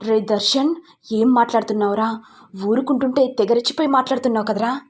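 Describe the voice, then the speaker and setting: A woman's voice: a little high, normal speed, darkish tone, slightly rough. A woman voicing a proud, stern matriarch in a family story, scolding and warning. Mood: angry.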